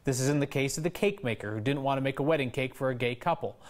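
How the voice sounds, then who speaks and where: A man's voice: medium pitch, fast. A male news anchor speaking in a conversational broadcast style. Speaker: news anchor and debate moderator.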